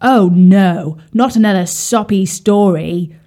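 A woman's voice: medium pitch, takes it slowly, warm tone, lightly acted. A woman voicing a grumpy, rude male carp in a children's story, with a snappy, scornful, complaining manner.

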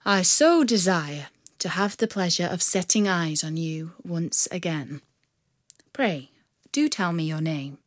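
A woman voicing a gallant young male outlaw leader, speaking in a courtly, polite and unhurried way. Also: mid-range voice, lively intonation, a bit bright, no echo, studio quality. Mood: tender.

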